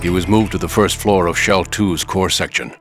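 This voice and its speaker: Game informant agent played by a man: low, serious, measured exposition over a prominent music score. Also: fairly even intonation, close to an everyday voice. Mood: grave, explanatory. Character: grave, knowing male government agent held hostage. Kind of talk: video game cutscene acting.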